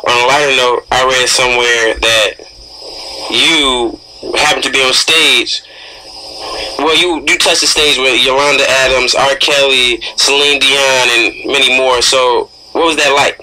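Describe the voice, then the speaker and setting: A man's voice: mid-range voice, steady tone, sharp tone, slight background noise, rough recording. A man co-hosting a casual radio interview, informal and admiring, repeating words as he goes. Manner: informal, admiring, halting repetitions. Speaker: radio show co-host.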